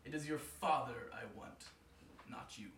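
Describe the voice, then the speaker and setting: A slow, deep, rasping old spirit of death, menacing and unhurried, as a storyteller puts him on. Every word is drawn out and chewed. The voice sits a little back from the mic in a roomy space. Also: male voice, a bit bright, distant mic, slight background noise, close to an everyday voice.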